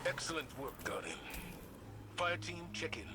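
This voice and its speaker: A steadfast fireteam commander, a little high for a male voice. He is formal and authoritative, a dramatic game performance with clear noise behind him. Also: very animated. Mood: satisfied, composed. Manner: firm, measured, formal. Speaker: military commander.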